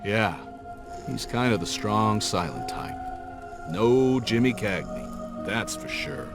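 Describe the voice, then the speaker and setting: A folksy older adventurer tells his tale in a low voice with slangy, reflective phrasing. It sounds like a cinematic game cutscene, with music clearly playing behind him. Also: lively intonation, takes it slowly, heavily acted. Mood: solemn. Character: folksy older male adventurer, warm storytelling uncle type.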